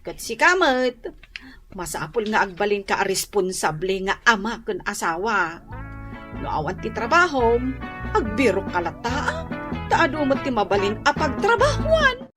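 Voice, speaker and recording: A woman's voice: mid-range voice, big pitch swings, measured pace, over music. A woman with an Ilocano accent playing a worried, hard-up village wife who scolds her husband in a radio drama. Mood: reproachful.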